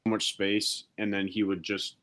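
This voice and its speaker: A man's voice: on the low side, little pitch movement, normal speed, fairly bright tone. A young man co-hosting a casual sports chat, blunt and opinionated, swearing now and then. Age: young adult. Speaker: podcast co-host.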